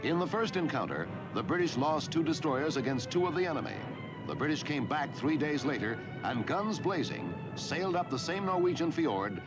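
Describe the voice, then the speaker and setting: A man reading scripted historical newsreel narration in a measured, formal delivery. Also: fairly high pitch, sing-song, music in the background, rough recording. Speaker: documentary narrator.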